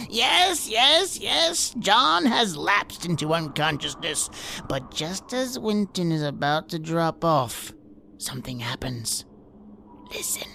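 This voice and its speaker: A woman playing a sinister, gloating old crone who hosts old-time radio horror tales with slow, theatrical delivery. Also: fairly low pitch, very animated, gravelly, pushed and bright, slight background noise, full character voice. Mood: gleeful, ominous.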